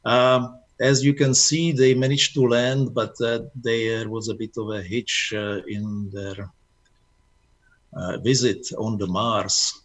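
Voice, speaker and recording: Husky man. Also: fairly low pitch, flat delivery. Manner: halting, polite. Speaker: guest presenter at an astronomy club. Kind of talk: informal question-and-answer after a presentation. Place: online video meeting.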